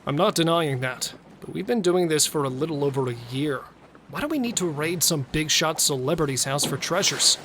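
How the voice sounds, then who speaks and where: Man playing a higher-voiced, intense male killer. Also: lively intonation, brisk, fairly bright tone, slight background noise. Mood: uneasy, blunt. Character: cautious male serial killer, wary yet bloodthirsty lover. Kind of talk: audio thriller acting.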